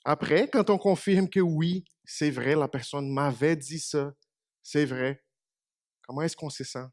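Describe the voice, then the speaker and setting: Slow, higher-voiced preacher, native Portuguese speaker. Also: male voice, no echo, studio quality. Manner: conversational, asks the congregation questions. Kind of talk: short church meditation. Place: small church.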